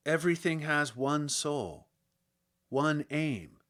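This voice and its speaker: A man reading literary fiction as an audiobook narrator, with a measured, dramatic storytelling delivery. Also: a little high, lively intonation, fairly bright tone. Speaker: audiobook reader.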